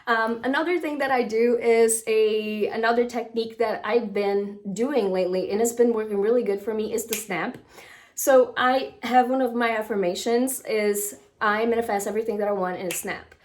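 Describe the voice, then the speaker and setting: A woman's voice: fairly high pitch, fairly even intonation, moderate pace, darkish tone. A woman with a Brazilian accent, a Portuguese speaker, talking casually to camera as a manifestation coach, full of "like" and "um". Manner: chatty, with many "like" and "um" fillers.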